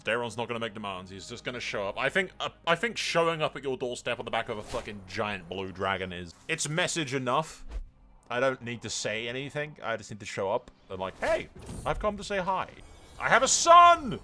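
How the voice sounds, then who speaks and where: A man's voice: fairly low pitch, sharp tone, slight background noise. A man hosting a gaming roleplay playthrough, commenting casually and irreverently with frequent swearing.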